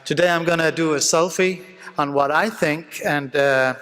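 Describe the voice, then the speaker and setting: A man from Denmark with a Danish accent gives an informal conference lecture as a surgeon, speaking English as a second language with halting phrasing. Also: fairly high pitch, a bit bright, clean tone. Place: conference hall, live talk. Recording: reverberant hall sound.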